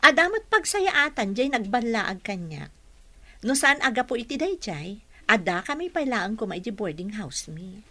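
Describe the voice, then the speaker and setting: Bright-voiced, earnest young nurse. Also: female voice, a little high, sing-song, measured pace, heavily acted. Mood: confiding. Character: young woman nurse and therapist, friendly, earnest. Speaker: radio drama actress. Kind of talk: radio drama acting in conversational scenes.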